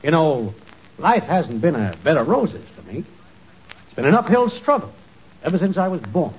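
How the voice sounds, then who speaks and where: A man's voice: on the high side, big pitch swings, normal speed, breathy edge, heavily acted. An actor playing an effusive, wheedling, falsely chummy male con man in 1940s radio comedy style. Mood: self-pitying. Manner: effusive, theatrical, ingratiating. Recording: muffled, hissy 1940s radio recording.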